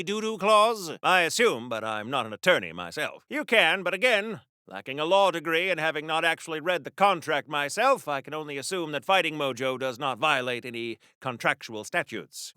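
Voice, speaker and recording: A man's voice: on the high side, sharp tone, no room sound, clean studio sound. A man playing a chatty, pompous wartime comic-book hero in a video game, with flowery diction in a theatrical 1940s radio serial style.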